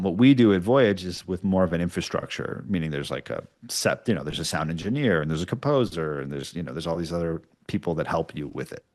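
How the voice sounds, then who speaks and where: A man's voice: low voice, darkish tone. A man giving casual, explanatory interview answers, often checking in with short tags like "right?". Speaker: podcast producer, interview guest.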